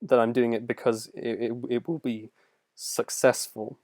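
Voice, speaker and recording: A young man talks casually in a very dry, close sound with a middling pitch. He rambles through answers to his viewers as a video creator, often putting himself down along the way. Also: little pitch movement, unhurried, a little mellow, dry recording. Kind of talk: casual livestream Q&A, reading questions aloud.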